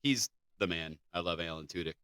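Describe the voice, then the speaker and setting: A man's voice: deep voice, fairly quick, bright, forceful tone, clean tone, dry recording, studio quality. A man co-hosting a podcast, chatting casually and reading out news items. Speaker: podcast co-host.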